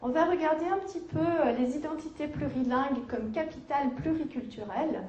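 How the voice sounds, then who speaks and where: A woman giving an academic conference lecture, measured, with hesitations and self-corrections. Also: high-pitched, a little mellow, muffled recording, a little hiss or hum. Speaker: university lecturer. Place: lecture hall. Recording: echoey lecture hall.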